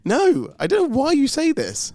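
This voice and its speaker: A man's voice: very high voice, huge pitch swings, moderate pace, a little mellow, no echo, clean studio sound. A man of about forty, co-hosting a podcast with casual, teasing banter. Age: around 40. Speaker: podcast co-host.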